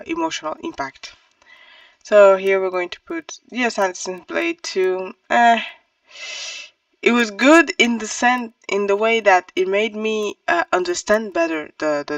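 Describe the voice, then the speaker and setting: A book reviewer talking casually and thinking aloud, in a halting, hesitant and self-correcting way. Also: mid-range voice, gravelly, a bit bright, no room sound, recorded from a distance. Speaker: book reviewer on own channel.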